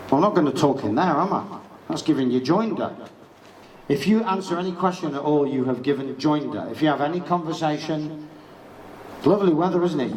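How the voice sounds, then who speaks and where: A man's voice: mid-range voice, recorded from a distance. A middle-aged man giving an emphatic, informal talk and acting out quoted exchanges. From England. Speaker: speaker giving legal talk. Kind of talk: informal lecture. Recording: echoey room, speaker away from mic.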